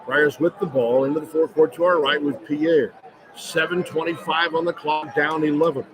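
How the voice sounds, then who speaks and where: A man's voice: fairly high pitch, steady tone, normal speed, crowd noise. A man doing live radio play-by-play of a basketball game as the announcer. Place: courtside in a large indoor arena. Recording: noisy live arena broadcast.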